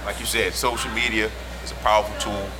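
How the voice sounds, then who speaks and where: Low-voiced male podcast guest, emphatic call to action; noisy lounge recording with vehicles passing. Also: flat delivery, fast talker. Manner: emphatic, urging. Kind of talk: casual roundtable chat. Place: cigar lounge.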